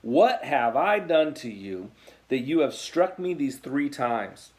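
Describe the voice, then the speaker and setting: A man's voice: medium pitch, sing-song, slow pace, darkish tone. An American man voicing a long-suffering female donkey who questions her master in a Bible story. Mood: plaintive.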